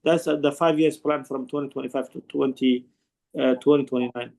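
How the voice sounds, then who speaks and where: Gravelly man, halting. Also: mid-range voice, steady tone, warm tone. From Somalia. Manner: earnest, halting explanation with frequent restarts. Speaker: conference participant asking a question. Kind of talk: online panel discussion.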